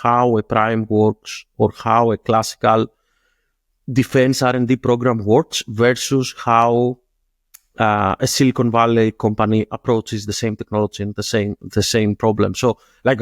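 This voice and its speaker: A man's voice: mid-range voice, no echo. Greek-accented man, close dry sound. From Greece. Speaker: startup founder and interview guest. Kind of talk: interview answers.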